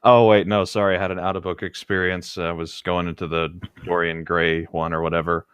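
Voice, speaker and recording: Male co-host, somewhat low voice, dryly jokey, loose stammering chat and amateur reading, close dry recording. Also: flat delivery, a little fast, a bit bright, smooth, dry recording, highs cut off. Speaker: podcast co-host.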